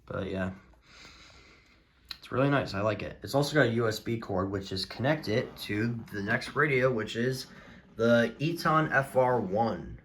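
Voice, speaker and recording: A man talking casually in a halting, rambling way as he presents his collection in a vlog. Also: mid-range voice, lively intonation, fairly bright tone, a little hiss or hum. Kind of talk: casual show-and-tell vlog.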